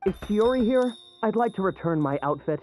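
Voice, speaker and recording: A woman's voice: mid-range voice, animated, brisk, mellow, faint music behind, close to an everyday voice. A woman voicing a rude, indignant shop customer in a video game, brusque and confrontational, in slightly dull audio. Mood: demanding.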